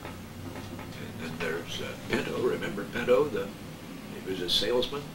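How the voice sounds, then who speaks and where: An older man, a radio veteran, telling anecdotes casually in a halting, hesitant way. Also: low-pitched, animated. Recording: roomy, distant, clearly noisy.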